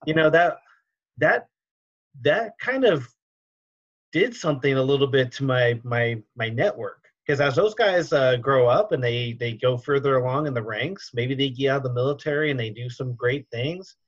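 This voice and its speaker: A man's voice: mid-range voice, normal speed, highs cut off. A man hosting a podcast interview, talking easygoingly with many fillers and restarts. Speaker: podcast host, military veteran. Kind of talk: casual interview chat.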